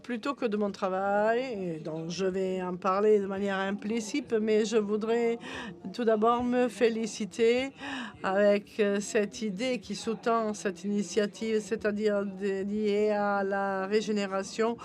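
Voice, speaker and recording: A woman works as a simultaneous interpreter at a conference. Her mid-pitched voice stays even and moves slowly. She delivers phrases in halting pieces, correcting herself as she goes.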